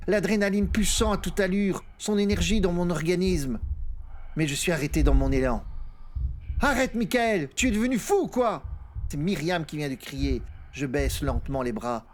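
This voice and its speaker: Man, audiobook narrator, slightly high pitch, dramatic first-person storytelling, horror fiction. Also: moderate pace, a little mellow, slight background noise.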